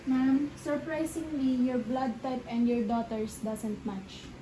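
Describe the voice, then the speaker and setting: Formal, reassuring Filipino doctor played by a woman, amateur stage drama, fairly high voice, echoey room. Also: steady tone, slow pace. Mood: grave. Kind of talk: amateur student stage-play acting. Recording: echoey, noisy, distant microphone.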